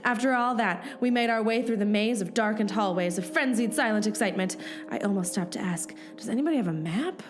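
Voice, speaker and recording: Female actor as scatterbrained, chatty heroine narrating a radio comedy adventure, music clearly underneath. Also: medium pitch, a little fast, close to an everyday voice. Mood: hushed storytelling. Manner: chatty, comic, self-interrupting. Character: scatterbrained young woman narrating her secret mission. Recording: music behind the voice.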